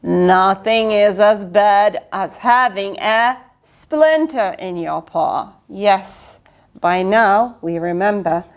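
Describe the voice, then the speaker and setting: Lively woman reading to children. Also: mid-range voice, slow. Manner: expressive, swooping, exclamatory. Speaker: children's book reader. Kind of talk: read-aloud storytelling to children.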